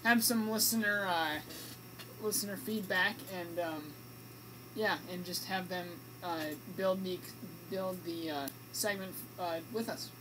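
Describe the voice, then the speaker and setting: A woman's voice: medium pitch, fairly even intonation, measured pace, a crowd in the background, low-quality recording. A woman co-hosting an amateur radio show with casual banter.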